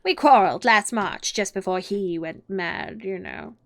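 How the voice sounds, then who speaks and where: A woman voicing an excitable, theatrical male hatter with a booming, drawn-out delivery. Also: vocal fry.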